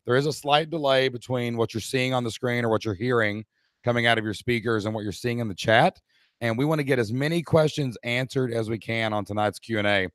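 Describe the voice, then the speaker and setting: A middle-aged man, hoarse-voiced, co-hosting a live online Q&A and talking straight to the chat audience in an upbeat way. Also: mid-range voice, fairly quick, a bit bright, dry recording, studio quality.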